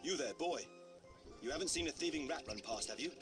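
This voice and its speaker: A man playing a brisk, authoritative town guard, dutiful and upright, in a theatrical puppet show. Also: a little high, lively intonation, lightly acted. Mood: stern, commanding. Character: stern, dutiful male town guard, kindly to children. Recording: loud music behind.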